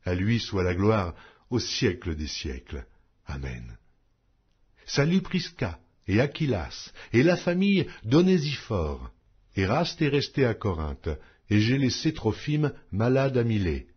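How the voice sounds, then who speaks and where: A man reading scripture from a script as an audio Bible narrator, in a solemn, declamatory manner with measured pauses. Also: fairly low pitch, very animated, slightly rough, lo-fi sound.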